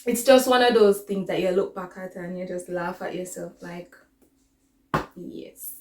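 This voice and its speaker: Young female vlogger, Caribbean accent, unhurried delivery, informal rambling storytime chat, recorded in an echoey room. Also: fairly low pitch, darkish tone. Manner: informal, digressive, conversational. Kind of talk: casual storytime chat to camera. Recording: echoey, roomy sound.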